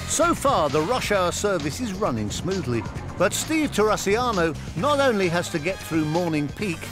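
Guy doing documentary voiceover, lively pitch, music under it. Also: moderate pace. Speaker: documentary narrator. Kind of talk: scripted narration. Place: studio voice-over over music.

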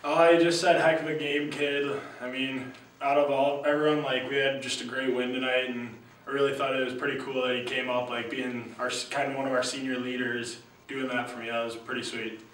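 A young man, a college basketball player, giving relaxed, casual postgame answers. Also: medium pitch, fairly quick. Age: young adult. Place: small room at a team backdrop. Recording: very echoey room, distant mic, faint hiss.

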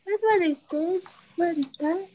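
A young woman voicing a naive, curious elephant child in halting amateur readers theater over a home video call. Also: extremely high pitch, dark tone, slight background noise. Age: young adult.

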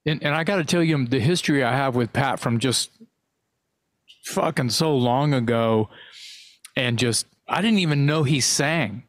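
Casting: male co-host, mid-pitched, loose rambling chat, dry studio mic. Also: no room sound. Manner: rambling, halting, swears casually. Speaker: podcast co-host. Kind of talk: casual interview chat.

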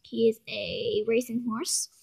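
A woman's voice: on the high side, level tone, slow, creaky, darkish tone, husky. A young woman giving a casual home video tour of her toy horse barn, hesitant and often correcting herself, filmed on a handheld phone indoors. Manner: hesitant, self-correcting, many ums. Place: carpeted room at home, indoors. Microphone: handheld phone camera.

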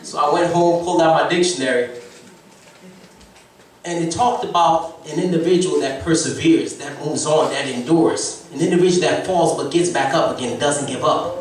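A man in his thirties from Haiti with a Haitian accent, preaching an exhorting sermon as guest preacher. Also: fairly high pitch. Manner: exhorting, preaching to the congregation with rising emphasis. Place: church sanctuary, mid-size, indoors. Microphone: handheld mic, far camera. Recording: echoey church heard from far off. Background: congregation responding.

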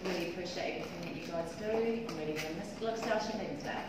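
A woman's voice: on the low side, racing, distant mic. A middle-aged woman chatting informally to colleagues in a farewell speech, recorded off-mic on a phone in a meeting room. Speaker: departing colleague giving farewell remarks. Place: office meeting room, indoors. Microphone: handheld phone camera a few meters away. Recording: echoey noisy room, off-mic phone video. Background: noisy room of colleagues.

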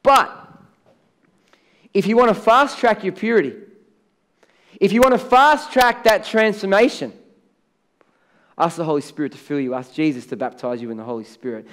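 A middle-aged Australian man preaches from a large church stage, his voice sitting a little high for a man. He talks in a conversational way, then presses up hard on the words he wants to land. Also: expressive, moderate pace, pauses often, a bit bright. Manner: conversational preaching, rising to emphatic on key words. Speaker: pastor. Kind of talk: sermon.

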